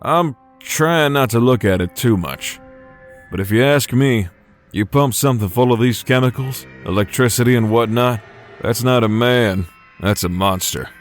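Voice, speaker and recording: A man's voice: medium pitch, normal speed, close to an everyday voice. A man playing a laid-back, skeptical gunslinger from the Old West, folksy and wry, in a game fan dub. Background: music.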